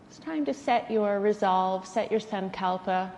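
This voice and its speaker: A woman guides a relaxation practice at a very slow pace, with gentle, soothing phrasing and pauses between instructions. Her medium-pitched voice rings in a long reverb, over faint background noise. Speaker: yoga nidra instructor.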